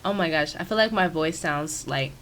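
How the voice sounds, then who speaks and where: A woman with a raspy, stuffy voice, chatting casually and rambling with many fillers, recorded on a sensitive microphone in her home office. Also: low voice, moderate pace, fairly bright tone, a little hiss or hum. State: stuffy from sinus trouble. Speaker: video creator. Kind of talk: casual commentary over a speed-build. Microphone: new sensitive desk microphone.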